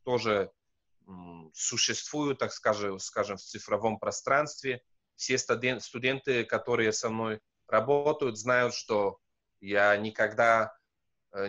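A man's voice: fairly low pitch, a bit bright, highs cut off. A man in his thirties, a professor and non-native speaker, answering on a video call with hesitant, filler-laden speech. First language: not Russian. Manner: hesitant, many fillers and restarts. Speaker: professor, guest. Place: wood-panelled room indoors.

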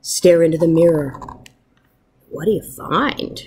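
A woman in her thirties, a first grade teacher, talking informally to young pupils and reading aloud in an encouraging, expressive way. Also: low voice, measured pace, slight background noise, rough recording. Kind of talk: warm informal message to pupils and picture-book read-aloud. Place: wood-ceilinged room at home, indoors. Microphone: webcam at arm's length.

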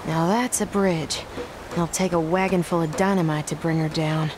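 A woman's voice: low-pitched, fairly even intonation, moderate pace, background noise, close to an everyday voice. An actress playing a determined frontier rancher woman in a western video game, firm and wry. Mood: confident, wry. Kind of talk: dramatic video game acting. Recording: music behind the voice.

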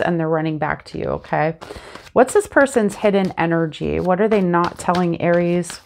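A woman in her thirties, a bit congested and sniffly, chatting casually through a tarot reading to camera on a lapel mic in a small room at home. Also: on the low side. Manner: chatty and animated, talks with her hands. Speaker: tarot reader.

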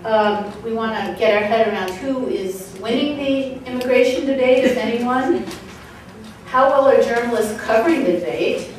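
A woman's voice: fairly high pitch, normal speed, distant mic. Woman at a podium in an echoey hall. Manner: reads from notes with frequent ums and uhs. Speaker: event organizer opening a panel. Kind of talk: welcoming remarks at a podium. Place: conference room with banner. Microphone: podium mic on a stand, recorded by a far camera. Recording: echoey room, voice from afar.

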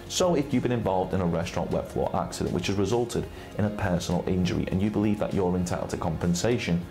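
British guy in his 30s, really low voice, reading a formal explainer, music underneath. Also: dark tone. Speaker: presenter for a personal injury claims firm. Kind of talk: scripted explainer to camera. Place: indoor studio set with brick wall and armchair. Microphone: lapel mic.

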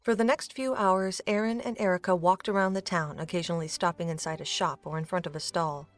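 A woman's voice: fairly low pitch, steady tone, fairly quick. A woman giving scripted third-person narration of a story as the narrator.

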